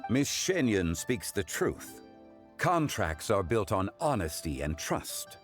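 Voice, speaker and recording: A learned, courteous gentleman from a video game. His lowish voice is calm and eloquent, with expressive rises and falls. Faint music runs behind. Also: slow. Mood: firm.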